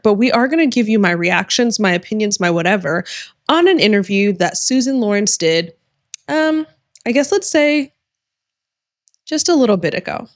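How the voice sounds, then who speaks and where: A woman criminal attorney hosting her channel, her voice going hoarse, talking emphatically and directly to viewers in casual commentary. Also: mid-range voice, no echo. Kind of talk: casual reaction commentary to camera.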